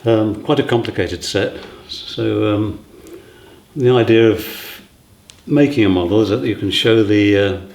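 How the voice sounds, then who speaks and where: A man explaining his design work informally, in a halting, matter-of-fact way. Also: on the low side, creaky, a little mellow. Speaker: theatre set designer. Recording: echoey room.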